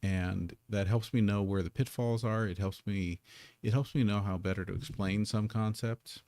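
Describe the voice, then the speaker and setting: A man's voice: on the low side, fairly even intonation, normal speed, mellow, no echo. A man who is a native English speaker, teaching a live Korean lesson at his streaming desk in a casual, self-deprecating way, repeating words as he sets up.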